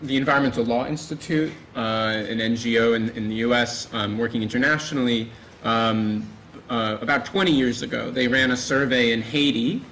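A man panelist adding a point in a measured way, with frequent fillers. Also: mid-range voice, fairly bright tone, slight background noise, rough recording. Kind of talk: panel discussion.

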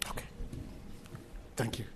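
Halting man speaking at a talk. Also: a little hiss or hum. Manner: halting, many repeated words and fillers. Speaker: guest speaker. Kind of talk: lecture and Q&A answers.

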